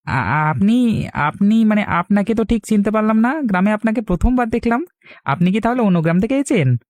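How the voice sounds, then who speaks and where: A humble young village lad in a cartoon , played by a man. His voice is high for a male and dry, with hesitant, eager, very respectful delivery. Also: animated, fairly quick, no echo. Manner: hesitant, deferential. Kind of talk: Bengali cartoon dialogue.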